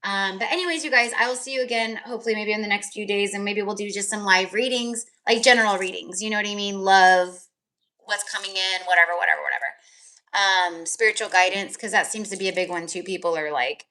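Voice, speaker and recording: A woman's voice: medium pitch, a little fast, bright, forceful tone. A woman tarot reader chatting casually on a live stream, rambling with lots of fillers, her voice tired and crackly. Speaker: tarot reader and energy healer.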